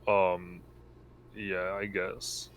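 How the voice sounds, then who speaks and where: Low, slow-talking man with background noise. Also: little pitch movement, a bit bright. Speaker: tabletop roleplaying player. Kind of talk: casual game chat.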